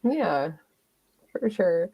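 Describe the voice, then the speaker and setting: A woman's voice: fairly low pitch, very animated, very slow, creaky, mellow, slightly rough, no room sound. A woman, interviewing in a casual, informal way as a podcast host.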